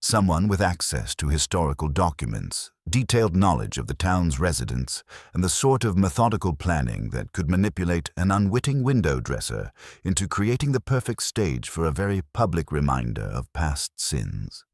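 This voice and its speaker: A man reading scripted mystery narration in a measured, formal storytelling manner. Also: deep voice, very animated, no echo, studio quality.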